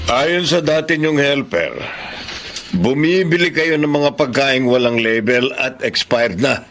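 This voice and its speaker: An actor in a Filipino radio drama playing a stern police officer, formal and reproving. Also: male voice, mid-range voice, a little slow, fairly bright tone, slight background noise.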